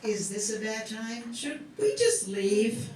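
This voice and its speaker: A woman's voice: fairly high pitch, big pitch swings, slow pace. A woman playing a polite, awkward wise king in a live comic radio play, apologetic in manner. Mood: hesitant. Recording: distant echoey room, loud noise.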